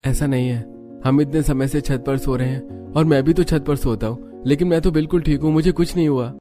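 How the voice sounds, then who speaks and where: A stubborn cartoon village man, voiced by a woman, brushing off worry in a dark, mellow, lowered voice. He talks insistently, with soft music behind. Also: fairly quick, close to an everyday voice. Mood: dismissive. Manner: dismissive, insistent.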